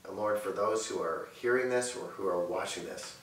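Earnest pastor in an echoey room. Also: male voice, fairly low pitch, distant mic. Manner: earnest, measured, with repeated phrases and pauses. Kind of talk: sermon and prayer. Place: living room, seated on a sofa. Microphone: far camera mic. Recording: echoey room audio with faint hiss.

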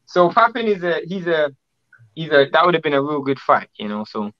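A man's voice: a little high, sing-song, brisk, lo-fi sound. A man co-hosting a boxing interview over a video call, chatty and prone to restarts. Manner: chatty, talks in restarts and long lists. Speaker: co-host.

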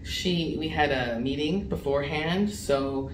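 A woman's voice: low voice, unhurried. A woman practicum student describing her mentor teacher enthusiastically, with fillers. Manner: warm, enthusiastic praise with fillers. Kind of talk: interview answers. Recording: distant roomy sound with clear hiss.

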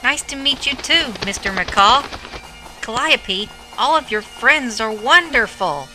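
A woman doing a bright, shy little pumpkin boy. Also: on the high side, lively intonation, slow, faint music behind, heavily acted, poor audio. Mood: pleased, polite. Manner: earnest, hesitant, childlike. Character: small, shy, innocent young male talking pumpkin.

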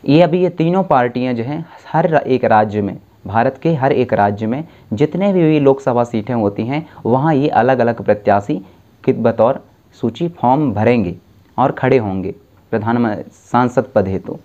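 A young man lectures to camera from a dim room at a desk, using a clip-on mic. His voice is mellow and his pitch sits in the middle. He lays out each point patiently, restarting and correcting himself as he goes, and the recording sounds a little muffled. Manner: explains slowly with self-corrections and restarts. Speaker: civics tutor. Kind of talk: exam-prep lecture to camera.

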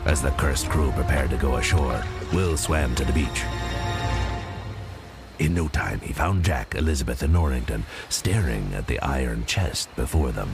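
A man with a gravelly voice narrating a scripted adventure audio drama as a storyteller. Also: extremely low pitch, animated, moderate pace. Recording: loud music under the voice.

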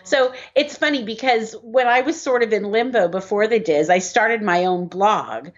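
A woman's voice: a little high, moderate pace. Chatty middle-aged woman on a muffled call. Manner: rambling, chatty with frequent fillers. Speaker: website content writer. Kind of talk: casual chat. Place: home room. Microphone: video call. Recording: muffled video call audio.

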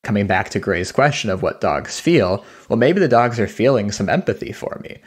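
A man's voice: lowish voice, lively intonation, normal speed, husky. A man speaking as an interviewed scientist, explaining his research in a conversational way. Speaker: interviewed dog cognition scientist.

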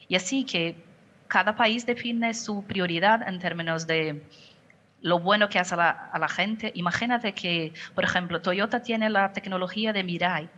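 A middle-aged Brazilian woman speaks Spanish with a Portuguese accent, her voice slightly low. She answers over a headset on a video call, pausing to pick words and restarting phrases. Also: fairly bright tone, lo-fi sound. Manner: careful, searches for words, frequent restarts. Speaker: company communications director. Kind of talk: panel interview answer. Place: home office, indoors.

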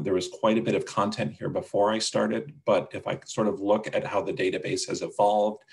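A man with a gravelly voice presenting a product demonstration and answering questions on a video call. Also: fairly low pitch. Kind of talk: webinar demonstration and Q&A. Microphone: video call microphone.

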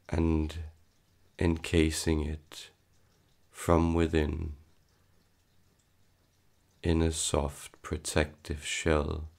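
A man leading a guided meditation in a solemn, hypnotic manner, halting between short soothing phrases. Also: a bass voice, vocal fry, darkish tone.

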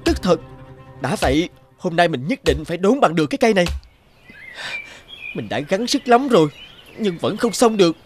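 Young woodcutter, cartoon hero, high lively voice, earnest and dogged, exclamatory, music behind. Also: male voice, moderate pace, pauses often. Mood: indignant, determined. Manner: exclamatory, talking to himself.

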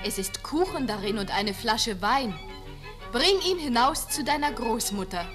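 A caring but admonishing storybook mother. The voice is a little high and gives instructions slowly and carefully. Faint music sits behind it. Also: expressive. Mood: caring, firm. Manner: measured, instructing. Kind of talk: fairy-tale audio play acting.

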